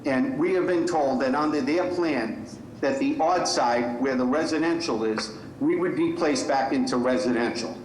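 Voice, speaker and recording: A man's voice: medium pitch, moderate pace, a little hiss or hum. A man giving public testimony at a zoning hearing, earnest and repeating himself. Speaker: public commenter. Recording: echoey call audio.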